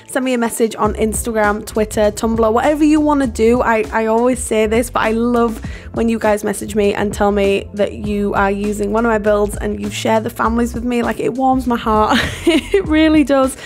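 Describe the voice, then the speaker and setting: A hoarse woman, losing her voice, chatting in a rambling, apologetic way over her building video and laughing often. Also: on the high side, fairly even intonation, fairly quick. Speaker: game builder and video host. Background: soft background music.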